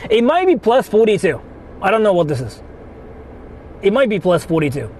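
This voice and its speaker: A young Japanese man speaks English with a Japanese accent, casually and excitably, swearing freely as he chats with his viewers. His voice sits high and leaps all over the place. Loud street traffic runs behind him. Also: normal speed. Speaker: streamer. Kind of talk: casual live-stream chat. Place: outdoors on city streets.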